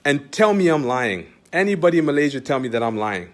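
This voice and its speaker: Emphatic Canadian man, higher voice. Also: big pitch swings, a little fast. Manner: emphatic, repetitive, rhetorical questions. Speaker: Islamic teacher. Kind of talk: direct-address monologue to followers.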